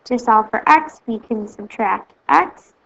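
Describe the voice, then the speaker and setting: A woman teacher calmly explaining math steps in a recorded video lesson. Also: on the high side, takes it slowly, vocal fry, dull audio. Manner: plain, steady step-by-step explaining.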